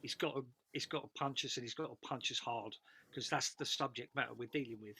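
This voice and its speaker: A man's voice: mid-range voice, fast talker, pushed and bright, husky. A man giving casual feedback as a script panellist, speaking emphatically and repeating phrases for stress.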